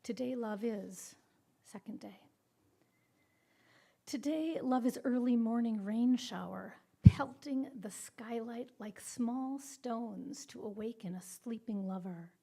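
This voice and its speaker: Slow, mellow older woman reading poetry. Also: fairly high pitch, creaky. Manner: measured, deliberate phrasing with pauses. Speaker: poet reading own work.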